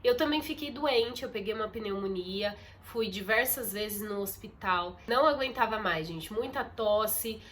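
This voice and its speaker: A woman's voice: mid-range voice, unhurried, few pauses, a bit bright, a little hiss or hum. A young woman talking to her phone camera in a casual, confiding vlog, her voice muffled and nasal from a stuffy nose. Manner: chatty, confiding, addresses viewers as "gente". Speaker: content creator. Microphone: phone on a ring light.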